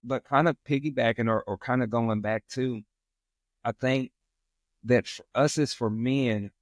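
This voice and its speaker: A man's voice: medium pitch, steady tone, measured pace, no echo. Emphatic male podcast guest, dry sound. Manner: emphatic, preachy, repeats catchphrases. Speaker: guest, real estate investor. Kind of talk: casual podcast conversation.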